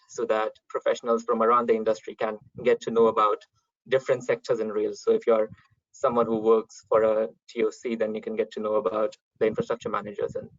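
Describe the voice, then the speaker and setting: A man hosting an online event, polite and organised, pausing often with small hesitations. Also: fairly low pitch, no room sound. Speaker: event host. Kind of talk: webinar hosting. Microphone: video call. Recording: dull, dry online call audio.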